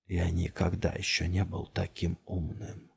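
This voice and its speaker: A dazed, entranced man in an audiobook thriller, voiced by a male narrator. He murmurs low and very slowly, drawing out his words in a hushed, husky tone. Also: completely flat delivery, exaggerated voice. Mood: entranced, eerie. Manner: slow, drawn-out, hushed murmur. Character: dazed, entranced man lured by a dark abyss.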